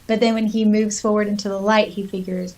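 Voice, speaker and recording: Female podcast co-host, slightly raspy mid-range voice, casual and giggly, reads notes aloud between comments. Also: medium pitch, little pitch movement, brisk, a little mellow.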